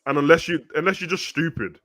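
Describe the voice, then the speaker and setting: A man's voice: a little high, fast talker, a bit bright, no room sound. A young man chatting casually as co-host of a football watch-along, laid-back and full of slang. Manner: laid-back slang-heavy chat, says "bro" a lot. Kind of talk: casual banter.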